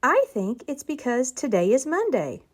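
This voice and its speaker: Storybook pupil, played by a woman: young, earnest, caring, raised pitch and expressive delivery. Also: normal speed, husky, heavily acted. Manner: earnest, simple questioning.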